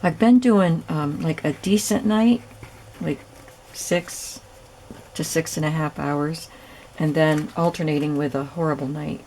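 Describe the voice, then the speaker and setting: A woman hosting a crafting video, hoarse and raspy from lack of sleep, chatting casually as she works. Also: deep voice, a little slow, slight background noise, poor audio. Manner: relaxed, chatty, thinking aloud while working. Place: home craft room.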